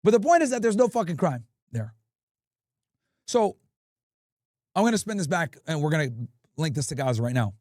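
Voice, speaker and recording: A man's voice: on the high side, normal speed, pauses often, no room sound, clean studio sound. A male livestream host giving brash, profane commentary and telling stories with lots of swearing. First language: English. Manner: brash, profane, animated storytelling.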